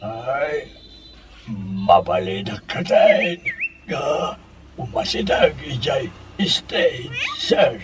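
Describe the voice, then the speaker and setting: A man's voice: mid-range voice, lively intonation, drawn-out words, very noisy. A man with a Filipino Ilocano accent playing a cantankerous, scolding old man in a radio comedy drama. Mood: lecturing. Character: cantankerous old man threatening with his cane.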